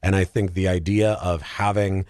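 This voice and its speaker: A man chatting casually about films as a podcast guest, animated, opinionated and colloquial in his talk. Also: sits low, moderate pace, no echo, studio quality. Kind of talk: casual film discussion.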